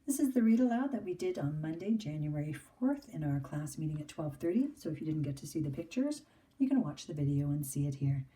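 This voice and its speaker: Low-voiced woman reading a picture book aloud. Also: very animated, brisk, warm tone, far from the mic. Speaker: teacher reading aloud to her class.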